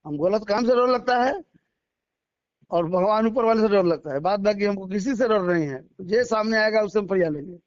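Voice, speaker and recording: A man's voice: sits high, moderate pace, no room sound. A middle-aged man with a Bihari accent speaking emphatically and repetitively, in colloquial words, as a local politician in a phone interview. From Bihar, India. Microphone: phone call. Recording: dull lo-fi phone call recording.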